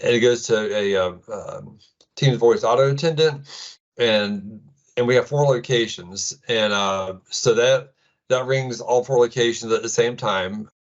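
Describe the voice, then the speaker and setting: A middle-aged man with an American accent giving an informal tech presentation in a casual, halting way full of ums. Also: mid-range voice, lo-fi sound. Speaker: presenter, IT administrator.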